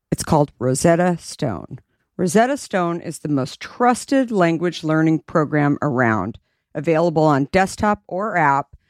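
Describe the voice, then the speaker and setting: A woman's voice: a little mellow, no echo, studio quality. Upbeat woman host reading an ad. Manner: upbeat, persuasive sales pitch. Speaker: podcast host. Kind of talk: read ad.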